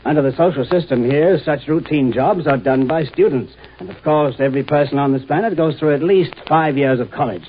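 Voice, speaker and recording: A man's voice: a little high, fairly quick, few pauses, a little hiss or hum, close to an everyday voice. Man acting an intense professor, muffled vintage radio. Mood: argumentative. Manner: earnest, intense, argumentative. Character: earnest idealistic professor, pleading, driven to desperation. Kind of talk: 1950s radio drama acting. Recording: muffled old radio recording.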